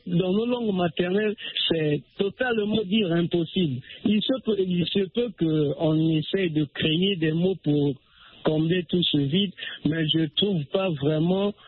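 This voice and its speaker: A man's voice: high-pitched, slightly rough, no room sound. A man from the Republic of the Congo calling in to a radio show, speaking hesitantly with many fillers and restarts. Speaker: radio caller. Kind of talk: phone-in call on a radio show. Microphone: telephone. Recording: tinny phone line, dry.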